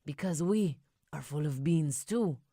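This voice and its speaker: A woman's voice: sits low, animated, unhurried, mellow, no room sound, clean studio sound, exaggerated voice. A woman voicing a small male Chihuahua in an earnest, matter-of-fact manner. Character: small male Chihuahua, plain-talking, worried about his beans.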